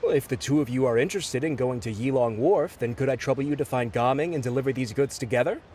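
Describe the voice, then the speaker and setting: An actor playing a busy, polite male office clerk in a video game, speaking in a businesslike, slightly hurried way. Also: medium pitch, darkish tone, slight background noise, lightly acted.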